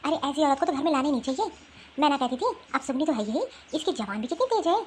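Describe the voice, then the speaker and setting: A woman voicing an angry, sharp-tongued woman scolding relatives in a family drama cartoon. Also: high-pitched, expressive, quick pace, slight background noise. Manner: scolding, indignant. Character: angry, sharp-tongued Indian women quarrelling in a family feud.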